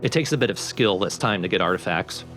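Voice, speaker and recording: A man's voice: deep voice, expressive, fast, fairly bright tone. A man giving casual, explanatory commentary over a video game, talking informally and step by step. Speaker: let's play commentator. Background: game music under the voice.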